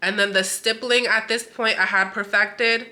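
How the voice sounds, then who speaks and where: A woman tattoo artist talks casually to her viewers, her voice stuffy and nasal from a cold. Her tone is very bright, and she fills her talk with "like.". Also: mid-range voice, fairly even intonation, normal speed. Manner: chatty, full of "like" fillers. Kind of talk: casual vlog chat.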